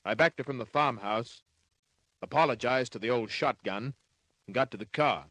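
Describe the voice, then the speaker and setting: An actor playing a wry, hard-boiled private detective in an old-time radio drama, laconic, slangy and cynical. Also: male voice, medium pitch, moderate pace, a bit bright, dry recording, natural voice, low-quality recording. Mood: wry narrating.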